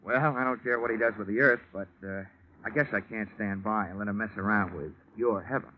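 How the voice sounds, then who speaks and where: An actor playing a wisecracking American insurance investigator in 1950s radio drama style, with wry, clipped, punchy delivery. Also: male voice, fairly low pitch, fairly quick, a little hiss or hum, close to an everyday voice. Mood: guarded, dry. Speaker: lead actor and narrator of a detective serial. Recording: muffled vintage radio broadcast, prominent music.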